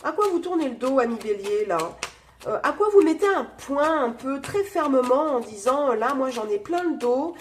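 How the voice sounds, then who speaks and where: High-voiced woman with a stuffy nose. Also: lively intonation, moderate pace, slight background noise. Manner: chatty, many fillers, addresses viewers directly. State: has a cold, nasal voice. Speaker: tarot reader. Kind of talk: casual tarot reading.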